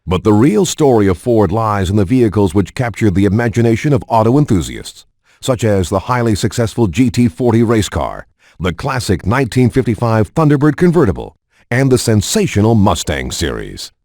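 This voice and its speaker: A man's voice: on the low side, sing-song, a little fast, darkish tone, no room sound, studio quality. A man reading formal, scripted promotional narration as a voice-over for a game. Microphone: studio voice-over.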